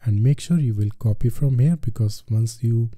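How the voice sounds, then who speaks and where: A man with an Indian accent narrating a software tutorial step by step, with repetitive filler phrases. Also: medium pitch, normal speed, no echo, studio quality. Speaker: tutorial presenter.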